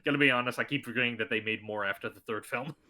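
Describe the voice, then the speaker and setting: A man's voice: mid-range voice, rapid-fire, pushed and bright. A man who is a voice actor, chatting as a podcast guest and telling stories in a loose, rambling way with many fillers. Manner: rambling, filler-heavy storytelling. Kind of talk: casual podcast chat.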